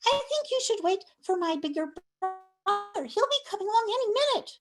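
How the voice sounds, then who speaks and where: A woman's voice: very high voice, animated, normal speed, faint music behind. A woman voicing a timid little billy goat boy who stammers and frets. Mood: pleading. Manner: stammering, fretful.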